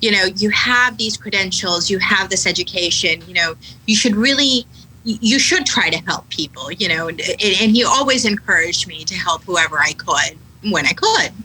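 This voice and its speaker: Bright-voiced American woman, candid and reflective. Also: mid-range voice, expressive, low-quality recording. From Pakistan, raised in Atlanta. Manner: candid, reflective, many fillers and pauses. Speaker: guest, attorney, nonprofit founder. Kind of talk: conversational interview answers. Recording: faint background noise.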